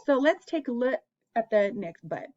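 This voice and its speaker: A woman's voice: on the high side, sing-song, moderate pace, a little mellow, dull audio. A woman hosting an interactive picture-book read-aloud for young children, asking them questions and encouraging them as she goes.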